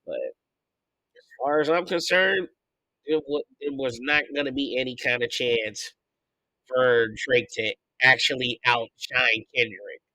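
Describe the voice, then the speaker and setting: A man hosting a podcast, congested from a recent illness, venting his opinions in a loose, profane, animated way. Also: mid-range voice, slow pace, no echo, poor audio. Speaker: podcast host. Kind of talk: casual opinion commentary.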